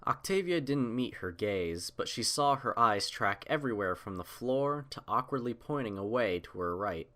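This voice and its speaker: A man's voice: mid-range voice, expressive, normal speed, fairly bright tone. A man reading scripted fiction aloud as the narrator of a story.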